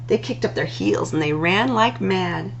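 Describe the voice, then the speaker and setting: A woman hosts a children's storytime, reading rhyming stories with a singsong lilt and stretched-out words. Her pitch swings widely and her voice has a gravelly creak. There is a faint hum and light noise in the recording. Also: highs cut off. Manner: expressive, singsong delivery with drawn-out words. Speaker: library storytime host. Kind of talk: animated read-aloud of rhyming picture books.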